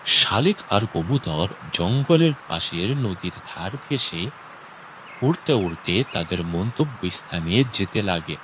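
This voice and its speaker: A man's voice: low-pitched, big pitch swings, unhurried, a bit bright, slight background noise, rough recording. A man narrating a scripted children's story as a storyteller.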